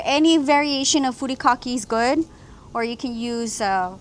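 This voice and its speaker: A woman's voice: fairly high pitch, fairly bright tone, slight background noise. Upbeat woman from Hawaii, cooking vlog. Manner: upbeat, explanatory, walks through each step. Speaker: cooking channel host.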